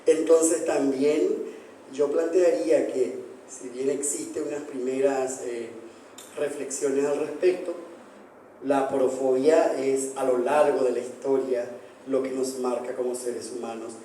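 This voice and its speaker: A man from Paraguay, an anthropologist giving reflective academic remarks on a panel, pausing on fillers. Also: mid-range voice, level tone, dark tone, a little hiss or hum. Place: large hall, book presentation. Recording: echoey hall from far off.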